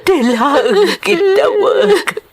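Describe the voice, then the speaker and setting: A woman's voice: very high voice, expressive, drawn-out words, exaggerated voice. An actress with an Ilocano accent playing an anguished, reproachful older mother, wailing through a melodrama. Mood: weeping, anguished. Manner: wailing, tearful, emotional. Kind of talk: melodramatic Ilocano radio-style drama acting.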